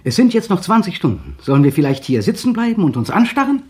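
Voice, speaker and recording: A tense, controlling husband. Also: male voice, high voice, very animated, fast, darkish tone. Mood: restless. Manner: clipped, insistent, falls to muttering. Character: tense, controlling young husband pressing his wife. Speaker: actor in a radio play. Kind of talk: 1960 German radio drama acting.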